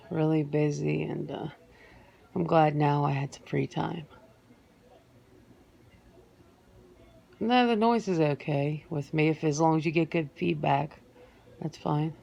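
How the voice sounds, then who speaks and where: Low, slow, creaky woman playing a guarded werewolf. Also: dark tone, exaggerated voice. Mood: calm, sure. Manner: hesitant, matter-of-fact, halting with pauses. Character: guarded, earnest female werewolf giving an interview. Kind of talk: ASMR roleplay, one side of an interview.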